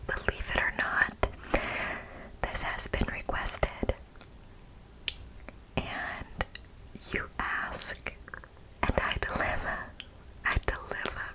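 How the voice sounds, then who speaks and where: A woman hosting ASMR in close whispers to the microphone, chatting casually and excitedly and often repeating words. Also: takes it slowly, long pauses, low-quality recording. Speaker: ASMR video host.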